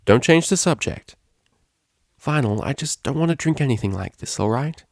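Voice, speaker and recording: Guy doing a posh-mannered nervous girl pony student, pitched up, proper and apologetic, story reading. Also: lively intonation, normal speed, vocal fry, darkish tone, no echo, clean studio sound. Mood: reluctant. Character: refined, anxious young female pony cellist student.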